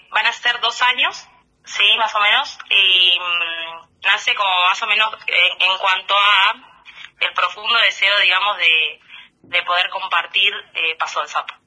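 A woman's voice: mid-range voice, measured pace, sharp tone, low-quality recording. A woman in her thirties with an Argentine accent, a teacher guest on a radio interview, speaking loosely with frequent laughs and hesitations. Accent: Argentine Spanish. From Argentine Patagonia.

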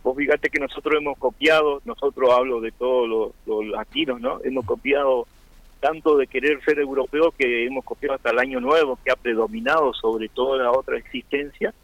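Earnest gravelly Argentine man, dry lo-fi sound. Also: medium pitch, steady tone, a little fast, no echo. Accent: Argentine Spanish. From Argentina (Chubut). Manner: hesitant, many fillers and restarts, earnest. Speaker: interviewee, Mapuche-Tehuelche community member. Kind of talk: radio interview, reflective explanation.

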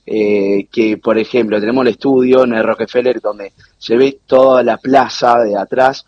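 A man in his thirties with an Argentine accent talks in a relaxed, chatty way and laughs easily. His voice is a bit husky. The call audio comes through dull and lo-fi. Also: medium pitch, normal speed, no room sound. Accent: Argentine (Rioplatense). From born in New York to Argentine parents. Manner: relaxed, chatty, laughs often, local slang. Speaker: TV producer, guest. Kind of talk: casual interview over a call.

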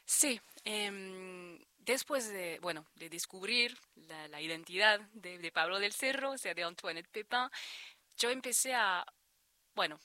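A woman's voice: medium pitch, expressive, unhurried, sharp tone, no echo. A woman in her thirties from France, speaking Spanish with a French accent as an interview guest, hesitant with frequent restarts. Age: 30s. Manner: hesitant, many restarts and repeated words. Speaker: interviewed researcher. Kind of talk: conversational interview.

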